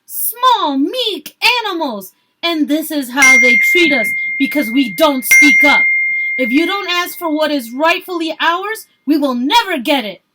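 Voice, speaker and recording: Storybook role: angry young woman agitator, performed by a female reader, high, forceful, defiant shouted speeches. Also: expressive, unhurried, a little hiss or hum, exaggerated voice.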